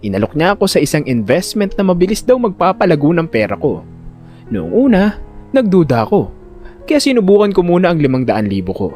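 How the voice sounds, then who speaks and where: A man narrating a first-person audio drama in an expressive, conversational storytelling manner. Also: high-pitched, fairly quick, faint music behind.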